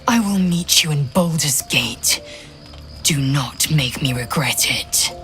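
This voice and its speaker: Fierce proud warrior zealot, slow clipped delivery, tense edge, blunt, fantasy game drama. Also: female voice, deep voice, animated, fairly bright tone, faint music behind, full character voice. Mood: bitter, resolute. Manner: haughty, clipped, formal.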